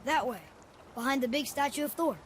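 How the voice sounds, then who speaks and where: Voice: high voice, brisk, fairly bright tone, slight background noise. A young performer playing a curious, chatty young boy in a video game, inquisitive and eager with casual phrasing. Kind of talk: naturalistic video game acting.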